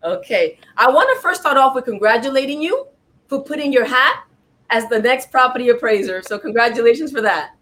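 A woman's voice: fairly high pitch, expressive, brisk, a bit bright. A woman from New York hosting a talk show, interviewing a guest in an upbeat, friendly, chatty way.

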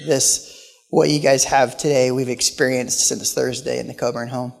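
A man preaching as a guest pastor with a quiet voice, telling stories with humorous asides in a church. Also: mid-range voice, flat delivery, moderate pace, creaky. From Portland, Oregon. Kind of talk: sermon. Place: church sanctuary. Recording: live church hall with long reverb.

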